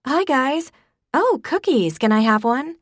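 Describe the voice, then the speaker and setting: A woman voicing an eager young woman who speaks emphatically, pitched above her narration. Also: lively intonation, normal speed, no echo, close to an everyday voice.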